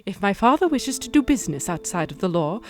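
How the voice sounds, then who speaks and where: A woman playing a poised, coolly determined young aristocratic heiress in a radio drama, speaking in a measured, dramatic way. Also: medium pitch, big pitch swings, natural voice.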